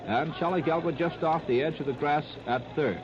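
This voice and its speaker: High-pitched American 1930s radio baseball announcer, man. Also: fairly quick. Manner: clipped, terse running phrases. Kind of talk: 1930s live radio sports commentary. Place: ballpark broadcast. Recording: noisy, muffled 1930s radio disc recording.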